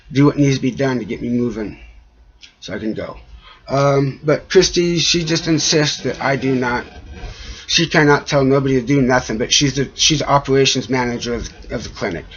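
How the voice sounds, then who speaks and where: A man speaking in a halting, aggrieved way, rambling through a personal complaint with a husky voice. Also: mid-range voice, fairly bright tone. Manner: halting, frequent trailing pauses, aggrieved. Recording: dull lo-fi audio.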